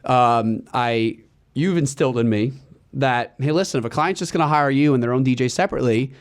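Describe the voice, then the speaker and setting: A young man in his twenties talks on a casual podcast, with a middle-pitched voice. He tells his stories with lively energy and acts out the lines of other people as he goes. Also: brisk. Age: 20s. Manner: animated storyteller, acts out quotes. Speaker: DJ and podcast co-host.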